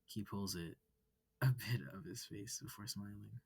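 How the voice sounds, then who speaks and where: Soft husky man reading a story. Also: lowish voice, steady tone, unhurried, no room sound. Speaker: reader. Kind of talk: cozy visual novel narration.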